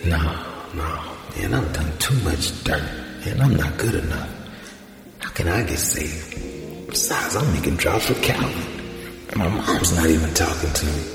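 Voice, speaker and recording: A man's voice: very deep voice, animated, measured pace, gravelly, exaggerated voice. An actor playing a torn young man's inner thoughts as he pleads with God. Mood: anguished. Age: young adult. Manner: anguished and pleading. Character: young man, Tony, torn and repentant. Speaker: inner monologue. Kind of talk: audio drama acting. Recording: echoey reverb with music behind.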